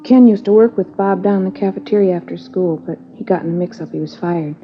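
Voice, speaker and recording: A woman's voice: lowish voice, fast talker, close to an everyday voice. An actress playing a worried, earnest teenage schoolgirl who answers police questions in a hesitant, chatty way, in 1950s radio drama style. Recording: dull old radio broadcast with hiss.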